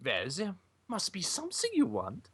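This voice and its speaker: A woman's voice: on the low side, huge pitch swings, normal speed, fairly bright tone, husky, exaggerated voice. A woman voicing pony princess sisters in a put-on German accent that slips toward French, as in a storybook. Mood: stately. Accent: mock German, slipping toward French.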